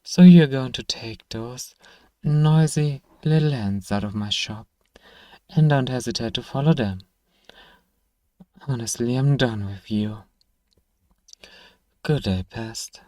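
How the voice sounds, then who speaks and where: A man acts out an irritable fantasy apothecary in an ASMR roleplay, close and dry in sound. He speaks slowly at a middling pitch, with haughty sarcasm and weary condescension. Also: dark tone, no room sound, close to an everyday voice. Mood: exasperated, dismissive. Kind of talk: scripted ASMR roleplay monologue.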